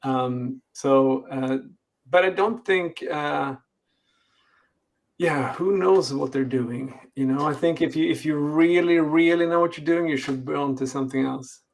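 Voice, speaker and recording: A man's voice: fairly high pitch, measured pace, gravelly. A middle-aged man with a Swedish accent, a company executive answering interview questions over a video call, hesitant with lots of fillers and restarts. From Sweden. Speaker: games company executive guest.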